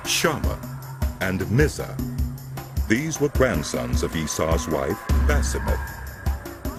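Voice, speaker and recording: A man narrating a scripted dramatic Bible reading in a solemn, measured storytelling manner. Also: low-pitched. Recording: loud music under the voice. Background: music.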